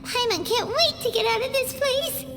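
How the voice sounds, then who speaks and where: A woman voicing a small, childlike fairy companion in a video game, squeaky, exclamatory and easily scared. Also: normal speed, over loud music. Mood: excited. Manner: speaks of self in third person, exclamatory.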